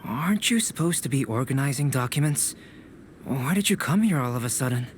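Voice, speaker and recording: A composed young alchemist mentor, voiced by a man, with an even, mid-pitched voice. He speaks calmly and with measured care, softly reproachful. Faint ambience sits behind him. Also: a little hiss or hum, close to an everyday voice. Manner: calm, measured, quietly reproachful. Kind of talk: video game voice acting.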